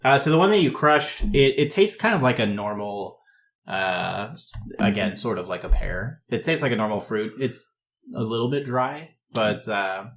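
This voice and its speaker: A man's voice: fairly low pitch, expressive, normal speed, fairly bright tone. A man improvising descriptive narration as game master of a tabletop game.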